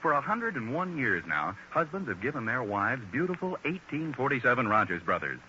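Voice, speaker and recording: A male American announcer sells with polish in classic 1940s radio style. His voice is sharp and forceful, with big lively swings in pitch. The old recording is narrow and muffled. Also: a little fast, slight background noise. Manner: polished salesman's delivery.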